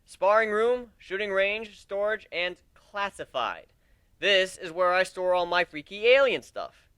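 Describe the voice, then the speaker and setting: A man voicing a brash, cocky young griffin captain in a dramatic story reading, with a sarcastic, excitable manner. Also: sits high, unhurried, clean tone, no room sound, voice pushed up, heavily acted. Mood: upbeat, announcing.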